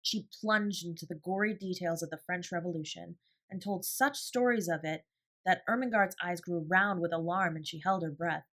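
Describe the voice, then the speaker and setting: A woman's voice: lowish voice, a bit bright. A woman reading a children's novel as an audiobook narrator, in scripted storytelling style.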